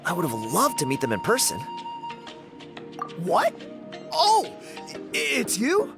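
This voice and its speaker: Animated, cocky young dancer. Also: male voice, high-pitched, takes it slowly, full character voice. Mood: delighted, showing off. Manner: breezy, self-assured. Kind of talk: video game character acting. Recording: music playing behind the voice.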